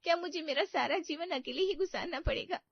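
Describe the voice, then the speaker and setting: A woman's voice: very high-pitched, fairly quick, gravelly, no room sound, pitched above the performer's own voice. A woman voicing a meek, wretched young woman in a cartoon story, with a plaintive delivery. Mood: tearful, despairing. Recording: muffled dry studio recording.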